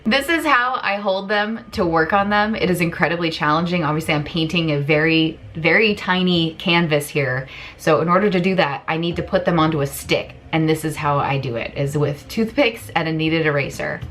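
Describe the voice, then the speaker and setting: A woman's voice: deep voice, normal speed, fairly bright tone. A woman in her thirties chatting casually at home as a craft vlogger, joking and self-deprecating as she explains her work. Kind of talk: casual tutorial chat. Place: home room, indoors.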